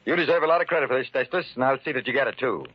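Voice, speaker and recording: An actor playing a brisk, self-assured, businesslike man in a 1940s radio mystery. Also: medium pitch, breathy edge, no room sound, natural voice. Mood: approving. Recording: dull, noisy 1940s radio recording.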